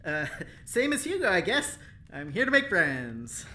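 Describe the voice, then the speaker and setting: A woman's voice: fairly low pitch, big pitch swings, unhurried, pushed and bright, exaggerated voice. A woman playing a cocky, teasing prisoner engineer in an audio drama, with a breezy, playful way of talking.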